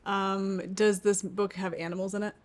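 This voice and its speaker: A woman with a mid-pitched voice talks casually, as if with a close friend on a book channel. She is emphatic and playful, with an easy conversational flow and open enthusiasm. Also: little pitch movement, normal speed, a little mellow. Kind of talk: casual playful chat, book guessing game.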